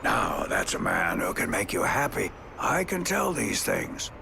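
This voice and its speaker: A man's voice: low-pitched, big pitch swings, a little slow, noisy background, full character voice. A man playing a kindly, courteous old traveler with a folksy, genial manner in a video game scene. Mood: genial, teasing. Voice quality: creaky elderly voice. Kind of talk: dramatic video game cutscene acting.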